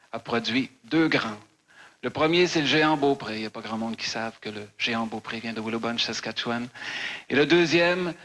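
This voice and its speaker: A man's voice: medium pitch, sharp tone. A man from Manitoba hosting a concert evening, giving formal stage introductions of the performers. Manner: formal, announcing. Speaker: concert host.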